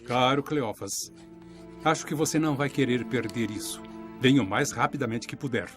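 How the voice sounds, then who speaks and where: Thoughtful man narrating over loud music, an actor. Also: medium pitch, natural voice. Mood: urgent. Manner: reflective, measured, earnest. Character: Nicodemus, thoughtful devout man reading his letters. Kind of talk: dramatic letter narration in animated Bible film.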